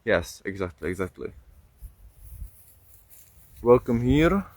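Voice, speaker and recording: A young man speaking English as a second language with a Czech accent, talking casually on a livestream, halting with many fillers and restarts. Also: on the low side, big pitch swings, no echo. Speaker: livestream host.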